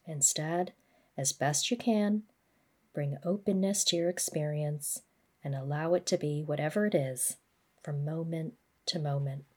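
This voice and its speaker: A woman gently guiding a body scan meditation, speaking in a measured, instructional way. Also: sits low. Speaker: mindfulness practice guide.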